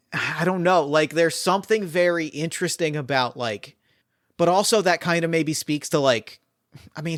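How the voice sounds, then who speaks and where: A man's voice: fairly high pitch, a bit bright, no room sound, clean studio sound. A man running a tabletop murder mystery game as game master, talking casually and rambling with many fillers and restarts.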